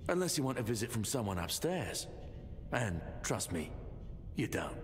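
An actor playing a gruff, contemptuous jailer interrogating a prisoner in a video game, threatening and scornful. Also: male voice, fairly low pitch, big pitch swings, moderate pace, slight background noise. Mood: menacing, impatient. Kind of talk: video game dramatic dialogue. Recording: long stone-hall reverb under music.

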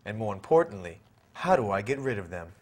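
A man's voice: fairly low pitch, sing-song, brisk, a little mellow. A man reading scripted documentary narration. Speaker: narrator and interviewer.

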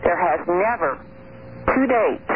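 A middle-aged woman speaking as a guest on a radio interview, telling her findings in an earnest, measured, detailed way over the phone. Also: lowish voice, lively intonation, a little hiss or hum. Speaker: guest investigator. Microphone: phone call into radio show. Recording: tinny phone line on old radio broadcast.

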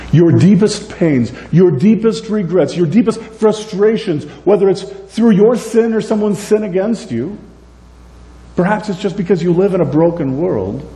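A man in his forties preaching a sermon in a church, animated and gesturing, moving between loud and soft. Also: on the high side. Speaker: pastor. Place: church sanctuary, indoors. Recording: echoey church room, faint hum.

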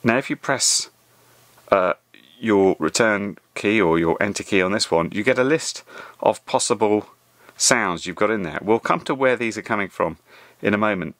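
Male tutorial presenter, lowish voice, casual and explanatory, very dry sound. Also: a bit bright, no echo. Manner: conversational, explains step by step. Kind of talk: casual spoken tutorial over a hands-on demonstration.

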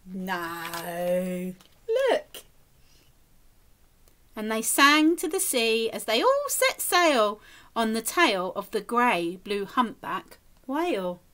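A woman with a high, very lively voice reads a picture book for small children. She takes her time and exaggerates her expression like a teacher at storytime, encouraging the listeners. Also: drawn-out words. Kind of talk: animated children's storytime reading with questions to the listeners. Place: small indoor room, plain wall.

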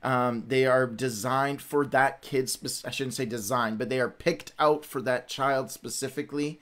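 A man's voice: mid-range voice, fairly even intonation, normal speed, a bit bright. A middle-aged man hosting a livestream in casual, rambling, informal chat. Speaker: host of a Bible read-along livestream.

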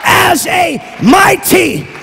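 High-pitched male preacher, shouting and half-singing. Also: sing-song, extremely slow, creaky, a faint crowd, slight background noise. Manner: quieter prayerful tone. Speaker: pastor. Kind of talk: Pentecostal sermon and prayer. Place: large church stage. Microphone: handheld mic. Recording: long church reverb, music behind.

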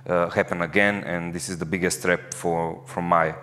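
A man's voice: low-pitched, little pitch movement, normal speed, sharp tone, in a large hall, a little hiss or hum. A man speaking earnestly on a conference panel, with slightly non-native phrasing. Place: conference stage before an audience.

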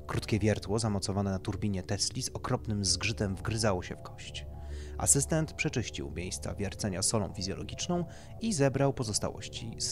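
A man reads a horror story as a scripted narration. His voice sits on the low side and moves widely in pitch from phrase to phrase. Faint music plays behind him. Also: darkish tone. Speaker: narrator, audiobook reader.

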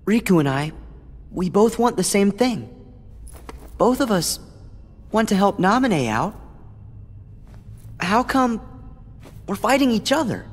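An earnest, high-voiced teen hero. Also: male voice, sing-song, measured pace, pauses often, full character voice. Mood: puzzled. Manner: earnest, pleading. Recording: game audio with reverb and music.

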